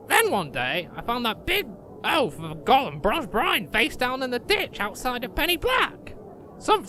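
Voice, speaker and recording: A man's voice: sits high, bright, forceful tone, slight background noise, full character voice. A man playing an irritable, boastful, foul-mouthed rogue who peddles potions in a comedy fantasy audio drama. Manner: cocky, grumbling, rambling.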